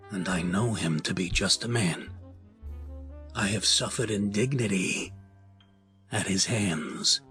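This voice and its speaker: A man's voice: fairly low pitch, fairly bright tone. Man playing a grave, menacing crime boss. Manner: formal, measured, grave. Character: proud, menacing, dignified crime family patriarch. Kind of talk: audio drama acting.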